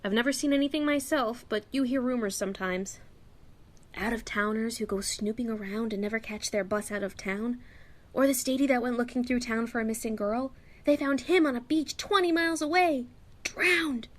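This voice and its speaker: A woman's voice: a little high, normal speed, lightly acted. A woman playing a chatty, outspoken young store clerk in a radio play, talking in a folksy, slangy, confiding way. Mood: cautious, warning.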